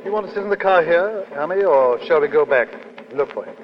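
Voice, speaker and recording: Courteous, quick-spoken gentleman suitor, played by a man. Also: on the high side, animated, very noisy, lightly acted. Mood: solicitous. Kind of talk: 1930s radio acting. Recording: muffled 1930s radio recording, loud music.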